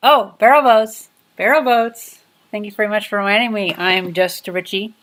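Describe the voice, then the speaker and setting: A young woman in her twenties hosts a relaxed livestream from her home workshop. Her talk wanders from topic to topic, loose and chatty, full of little fillers. Her pitch sits right in the middle of the range. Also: unhurried. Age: 20s. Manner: rambling, chatty, many fillers. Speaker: livestream host. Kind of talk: casual livestream chat.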